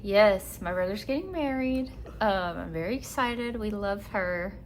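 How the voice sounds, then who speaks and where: An easygoing woman in her late twenties co-hosts a relaxed podcast chat. Her voice sits in a middle register, and she laughs often as she talks. Faint background noise sits under the recording. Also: mid-range voice, unhurried. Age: 20s. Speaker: podcast co-host. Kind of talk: casual chat.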